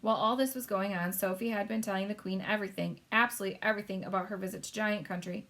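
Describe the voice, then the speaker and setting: A woman narrating a children's book in an expressive read-aloud style. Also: mid-range voice, fast talker. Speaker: story reader.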